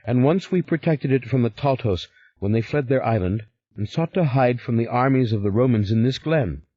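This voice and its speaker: A man's voice: mid-range voice, animated, normal speed, mellow, dry recording, pitched above the performer's own voice, lightly acted. A man voicing a bitter, fierce young woman who accuses her brother with impassioned scorn. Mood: accusing. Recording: slightly muffled recording.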